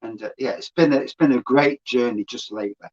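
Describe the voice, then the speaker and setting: A man's voice: mid-range voice, a little fast, a little mellow, highs cut off. A man, a beer reviewer, chatting casually as a guest on a stream, swearing freely and laughing as he tells stories.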